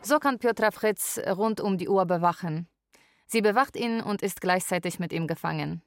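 An actress playing a lonely, wry Polish live-in carer, narrating a radio drama in a deliberate way. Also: female voice, medium pitch, dry recording, studio quality, natural voice. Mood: matter-of-fact.

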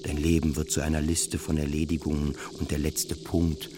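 A man's voice: extremely low pitch, monotone, moderate pace, a crowd in the background. An actor playing a weary, self-doubting man in a radio drama inner monologue. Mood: resigned.

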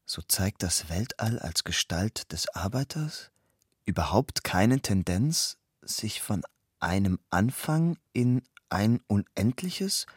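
A man recites literary prose with measured solemnity. The voice is fairly low and husky, and the delivery is slow. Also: darkish tone, no room sound, studio quality.

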